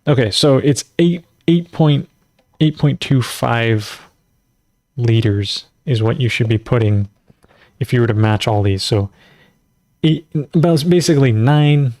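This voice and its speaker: A man's voice: medium pitch, expressive, unhurried, a little mellow, no room sound, clean studio sound. A man hosting a casual live farming Q&A, reading recipes aloud and rambling, often stumbling over numbers and foreign words with self-deprecating asides. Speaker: host of a natural farming livestream.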